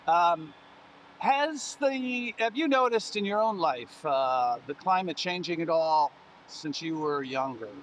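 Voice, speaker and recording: High-voiced halting male interviewer. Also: lively intonation, slight background noise, rough recording. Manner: halting, many uh and um fillers. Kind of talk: oral history interview.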